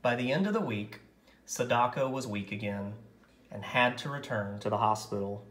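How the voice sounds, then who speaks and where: A man reading a picture book story aloud in a gentle, measured way with dramatic pauses. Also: mid-range voice, echoey room. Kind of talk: scripted story narration, read aloud.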